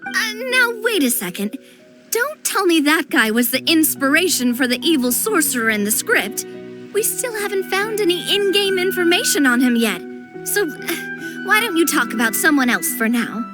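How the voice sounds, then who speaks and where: Cheerful young heiress in a game, high and expressive, faint music behind. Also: female voice. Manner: chatty, expressive, quick to react. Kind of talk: game cutscene dialogue.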